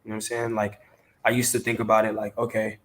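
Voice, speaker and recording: A man from Maryland answers casually as an interview guest. His voice sits fairly low and stays very even in pitch, with colloquial, filler-heavy phrasing. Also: quick pace. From Maryland, DC area, United States. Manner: colloquial, peppered with "you know". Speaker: interview guest, talent manager.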